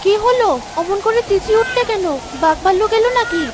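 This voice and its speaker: A woman voicing a fretful village wife and anxious mother in an expressive cartoon drama. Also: extremely high pitch, quick pace, noisy background. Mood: astonished, tender.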